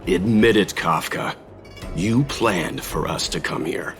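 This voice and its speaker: An actor playing a serious, dutiful middle-aged man in a video game, measured, polite and firm. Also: deep voice, gravelly, a bit bright, soft background music, heavily acted. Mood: stern. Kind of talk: scripted video game cutscene acting.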